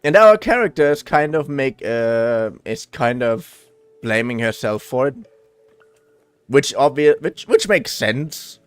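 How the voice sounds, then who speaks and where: A man's voice: medium pitch, animated, slow, smooth, no room sound. A man livestreaming a video game with casual, theatrical commentary, emphatic and given to casual swearing. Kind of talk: casual let's-play commentary with dramatic read-aloud.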